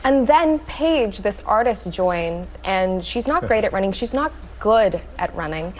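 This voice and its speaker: A young woman from Hawaii, an actress guest on a TV show, talking enthusiastically and quickly. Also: medium pitch, expressive, a little hiss or hum. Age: young adult. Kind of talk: studio interview. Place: TV studio.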